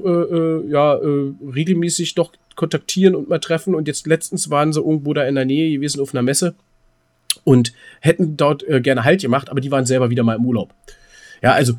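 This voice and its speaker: A man chatting casually as a podcast co-host in a halting, hesitant, colloquial way. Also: on the high side, fairly even intonation, darkish tone. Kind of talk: casual chat.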